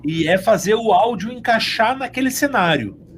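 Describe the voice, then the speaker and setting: High-pitched Brazilian man explaining casually. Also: lively intonation, normal speed, a bit bright. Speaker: audio drama sound editor interviewed.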